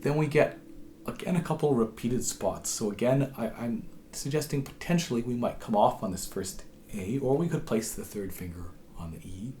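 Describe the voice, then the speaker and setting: A hoarse man with a cold, patiently explaining how to play a tune as a harp teacher, his voice sometimes dropping to a whisper. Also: medium pitch, lively intonation, normal speed, background noise. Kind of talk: instructional tutorial.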